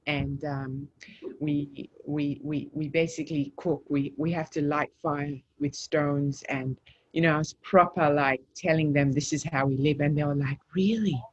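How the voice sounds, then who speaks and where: A woman from Zambia, a guest telling stories in a relaxed casual conversation over a video call. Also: deep voice, unhurried, breathy edge, muffled recording. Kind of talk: casual live-stream conversation.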